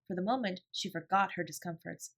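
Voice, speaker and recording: A woman's voice: on the low side, fairly quick, fairly bright tone. A woman reading a children's novel as an audiobook narrator, in scripted storytelling style.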